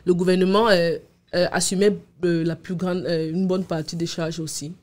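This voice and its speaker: Low-pitched woman explaining, halting and repetitive. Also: fairly even intonation, a little mellow. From abroad, immigrant to Canada. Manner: hesitant, repeats words as she explains. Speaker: podcast guest. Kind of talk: casual interview answers, explaining personal experience. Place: small indoor podcast studio. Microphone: studio microphone on boom arm with headphones.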